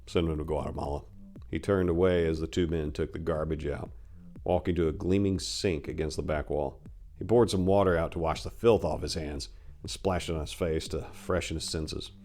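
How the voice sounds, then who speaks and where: A man's voice: rumbling low, fairly even intonation, normal speed, darkish tone. A man narrating a crime story as a dramatic reading, in the role of storyteller.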